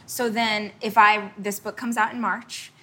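A woman author and motivational speaker talks as a podcast guest. Her voice is bright and forceful with a husky edge. She speaks with passion and emphasis and often appeals straight to the listener. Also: medium pitch, level tone, measured pace. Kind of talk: motivational interview talk.

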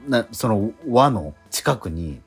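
A Kansai man with a husky, fairly low voice chats in a relaxed way as a radio host. His pitch moves around a lot, with plenty of agreeing murmurs. The talk is easygoing and conversational. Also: takes it slowly, faint music behind. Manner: relaxed, frequent backchannel nods and laughter. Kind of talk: casual chat about theater rehearsals.

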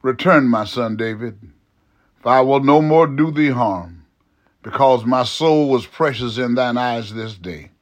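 A man reading the lines of a remorseful older king, slow and subdued. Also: medium pitch, natural voice.

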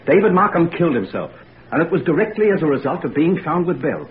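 A smooth, manipulative young student in an old radio drama, coaxing and persuasive. His pitch moves in a lively way. The sound is thin and phone-like, with a faint hiss. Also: quick pace. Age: young adult. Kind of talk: 1940s radio acting.

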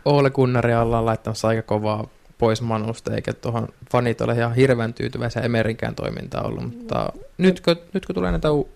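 A man's voice: mid-range voice, very animated, gravelly, few pauses, darkish tone. A man on a football podcast panel, casually analysing players and matches in conversation.

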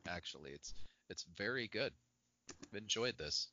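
Bright-voiced man, lo-fi audio. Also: lowish voice, lively intonation, moderate pace. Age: 30s. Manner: easygoing, laughs often. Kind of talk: casual chat. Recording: dull lo-fi audio with faint noise.

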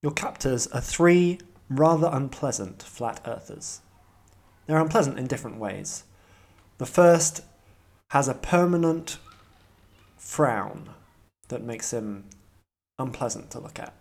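A man's voice: medium pitch, animated, slow, pauses often, a little mellow. A man hosting a role-playing podcast as game master, narrating the scene and slipping in dry, wry quips.

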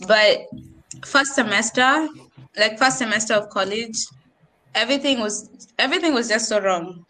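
A young Kenyan woman with a Kenyan accent talks casually on a podcast, sliding between English and Swahili slang. Her voice is bright and forward, sitting a little high. She sounds lively and chatty. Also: normal speed, rough recording.

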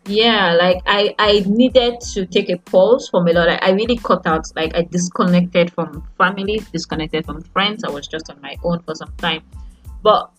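A young Nigerian woman with a Nigerian accent, a podcast host talking casually and haltingly, full of fillers and restarts. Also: fairly low pitch, faint music behind, low-quality recording. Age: young adult. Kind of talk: casual chat.

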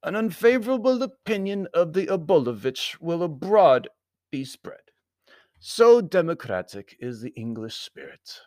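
High-pitched, pompous Baron. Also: male voice, very animated, unhurried, darkish tone, no echo. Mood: stern, accusing. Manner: stiff, formal, inverted word order. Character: big bearded foreign Baron, stiff, formal, pompous loyalist envoy.

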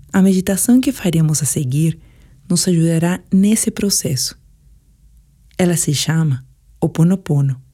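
Brazilian female meditation guide, deep register, relaxed and soft, soothing delivery for sleep narration. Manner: calm, soothing, measured delivery.

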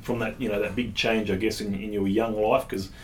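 A man hosts a relaxed interview podcast. His voice sits on the low side. He feels his way through questions, often pausing and repeating words. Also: a little hiss or hum, poor audio. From Tasmania, Australia. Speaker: podcast host.

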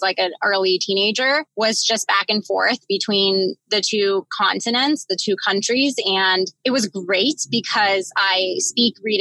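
A woman's voice: mid-range voice, bright, forceful tone, no echo, poor audio. A woman in her twenties from Miami, telling her story as a podcast guest in a chatty way, with many "like" fillers. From Miami, USA. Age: 20s. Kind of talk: casual storytelling interview.

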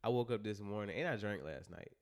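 A man's voice: lowish voice, fast. A young man hosting a podcast in casual chat, a little stuffy and nasal, joking and slangy. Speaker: podcast host.

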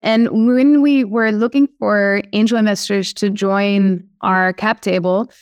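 Woman, Dutch accent, founder guest; upbeat, step-by-step explainer, dry close-mic sound, mid-pitched voice. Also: steady tone, unhurried, no echo. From Netherlands. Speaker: guest, startup CEO. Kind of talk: podcast interview.

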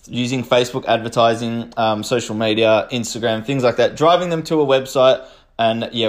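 A man with a slightly croaky voice, casually talking listeners through small business marketing as a podcast host and coach. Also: medium pitch. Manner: chatty and informal, addresses listeners as "you guys", frequent "um" and "like".

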